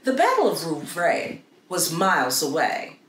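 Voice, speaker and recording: A middle-aged woman reading a story aloud for children in an expressive, deliberate way with dramatic pauses. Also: low voice. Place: small indoor room against a plain wall. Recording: roomy echo with faint background noise.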